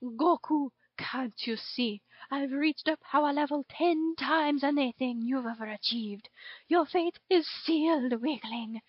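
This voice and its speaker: A grandiose male dictator as a woman storyteller puts him on gloats and taunts in a strikingly high voice. It is a storybook villain with jovial menace, captured in a dry, lo-fi recording. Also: measured pace, no room sound. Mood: grandiose gloating. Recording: dull lo-fi home recording.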